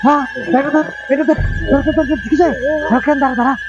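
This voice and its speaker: Male actor, eager village fisherman for comic horror skit: very high, exclaiming voice, muffled recording, music behind. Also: racing. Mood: excited. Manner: excited, repetitive exclaiming. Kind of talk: amateur Bengali horror-comedy skit acting. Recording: dull lo-fi sound with loud music.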